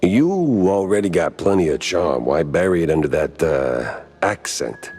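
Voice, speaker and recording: A man's voice: low-pitched, sing-song, measured pace, a little mellow, full character voice. A man voicing a wry, world-weary mouse private detective in a noir video game, with a hard-boiled delivery. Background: music.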